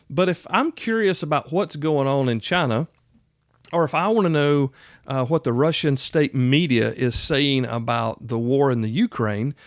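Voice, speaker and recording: A man's voice: mid-range voice, dry recording, clean studio sound. American male host, forties, folksy and conversational, teaching informally, very little room sound. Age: 40s. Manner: conversational, folksy, addresses the listener directly. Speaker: host and instructor of a prepper radio show. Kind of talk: casual instructional talk.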